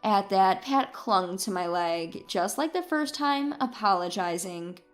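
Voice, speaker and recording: A woman's voice: medium pitch, expressive, unhurried, faint music behind. A woman reading a scripted first-person story as its narrator.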